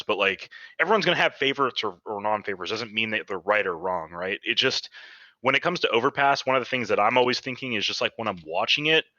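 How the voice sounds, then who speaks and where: Bright-toned rambling man co-host. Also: mid-range voice, no room sound, dull audio. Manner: rambling, trails off into "I don't know". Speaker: podcast co-host. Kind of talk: casual podcast chat.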